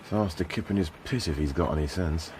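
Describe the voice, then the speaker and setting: Male actor as dry, grumbling British detective, very low voice, faint car hum. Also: a little fast, darkish tone, lightly acted. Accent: British English. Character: grumbling, wry male detective on night stakeout.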